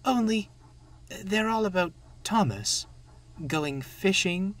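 A man's voice: sits high, expressive, slow pace, fairly bright tone, voice pushed up, exaggerated voice. A man voicing a chatty, wistful female railway coach in a storybook, with a genteel, emphatic manner. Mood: wistful, proud.

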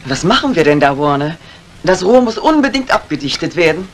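A woman's voice: on the low side, big pitch swings, brisk. An actress playing a self-assured, inquisitive lady mystery writer in a retro radio crime drama. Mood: surprised, inquisitive. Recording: noisy old radio recording.

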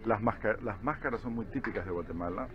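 A man's voice: lowish voice, quick pace, highs cut off. A man in his forties with a Peruvian accent, chatting casually as a travel vlogger, laughing often and tagging phrases with little questions. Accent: Peruvian Spanish. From Peru. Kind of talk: casual travel vlog narration. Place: outdoor crafts market. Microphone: camera held at arm's length.

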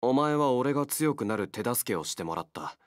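An actor playing a wary, feral young man in a game, a gruff loner fighter with blunt, halting speech. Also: medium pitch, expressive, no room sound, clean studio sound, lightly acted. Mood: guarded, blunt. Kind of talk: Japanese game character voice acting.

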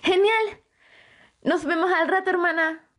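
A woman's voice: extremely high pitch, fairly even intonation, measured pace, fairly bright tone. A woman playing a bubbly, bold young girl in an amateur anime-style audio roleplay, gushing and giggly. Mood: delighted.

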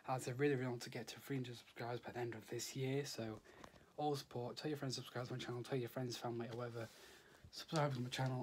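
A young British man chatting casually to camera as a vlogger, rambling and repeating himself, recorded on a phone at arm's length. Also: medium pitch. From United Kingdom. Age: teens to young adult. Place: small rooms at home, conservatory; briefly outdoors. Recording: noisy handheld phone recording.

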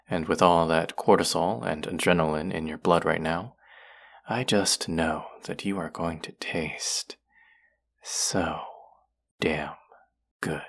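A man's voice: low voice, gravelly, a bit bright, exaggerated voice. A young man playing an irritable, predatory vampire college student in an intimate audio roleplay, curt, sarcastic and condescending. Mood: predatory, hushed menace.

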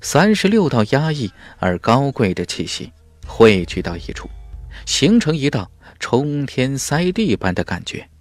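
A man's voice: medium pitch, big pitch swings, a little slow, no echo, soft background music. A man narrating a fantasy audiobook in a dramatic, scripted storytelling style.

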